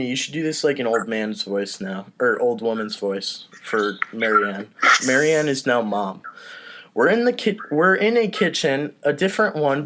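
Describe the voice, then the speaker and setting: A man with an average-pitched voice hosts a comedy podcast table read. He reads stage directions and gives casual instructions to the cast. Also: a little hiss or hum.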